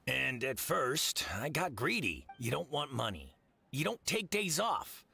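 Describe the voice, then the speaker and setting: An actor playing an exasperated middle-aged market boss in a video game, scolding and plain-spoken. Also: male voice, mid-range voice, very animated, a little slow, gravelly, sharp tone, husky, soft background music, full character voice. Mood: frank.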